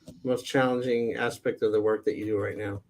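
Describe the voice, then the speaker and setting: A man's voice: fairly low pitch, steady tone, brisk, a little mellow. A man hosting an interview show, closing the segment with short affirming replies. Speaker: show host. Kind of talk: interview conversation.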